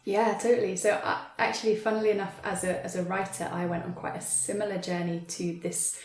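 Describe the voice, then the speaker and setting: A woman's voice: medium pitch, little pitch movement, fairly quick. A woman writer answering conversationally as an interview guest, recorded with a roomy echo. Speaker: playwright, guest. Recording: roomy, echoing sound.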